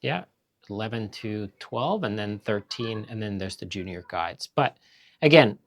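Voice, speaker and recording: A man from Finland hosts a family vlog with his partner. He talks in relaxed, rambling chat full of fillers and asides, at an easy pace. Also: on the low side, measured pace. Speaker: vlog host. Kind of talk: casual chat.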